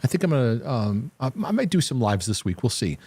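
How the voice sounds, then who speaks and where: A man's voice: medium pitch, sing-song, fairly quick, a little mellow, breathy edge, dry recording. A man hosting a live question-and-answer broadcast, giving earnest, reassuring faith-based teaching. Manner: earnest, reassuring, lots of restarts. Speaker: host.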